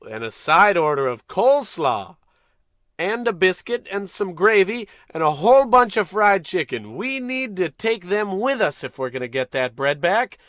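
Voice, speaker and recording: Man playing a pompous, swooping, bright-voiced space captain. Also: high voice, sing-song, normal speed, no echo, studio quality. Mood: boastful. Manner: pompous, melodramatic. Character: bumbling, pompous, heroic American male space captain. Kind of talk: old-time radio comedy acting.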